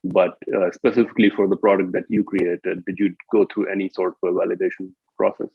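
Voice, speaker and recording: A man's voice: deep voice, level tone, fast, creaky, slightly rough, dry recording. A man hosting a conversational interview, asking hesitant, roundabout questions over a muffled, narrow-band line. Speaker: interview host.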